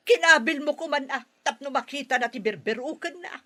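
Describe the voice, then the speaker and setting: An actress playing a scheming middle-aged village mother in a radio drama, with a husky voice and a mocking laugh. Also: high voice, normal speed, bright, forceful tone.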